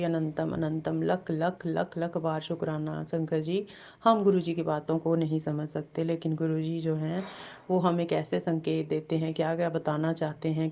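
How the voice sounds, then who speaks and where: A woman with a sore throat sharing a devotional testimony, halting with many fillers and repeated blessings. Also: sits low, creaky, warm tone, dull audio. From Jammu.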